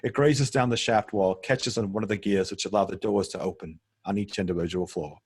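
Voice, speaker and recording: Male narrator, raspy, lowish pitch, matter-of-fact, reading screenplay directions, occasional stumbles. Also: brisk. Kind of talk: script table read narration.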